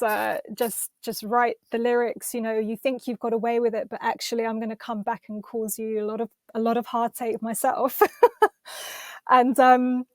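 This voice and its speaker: High-voiced chatty English woman. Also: no room sound. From London, England. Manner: chatty and enthusiastic, many small restarts. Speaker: singer, podcast guest. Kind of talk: casual interview chat.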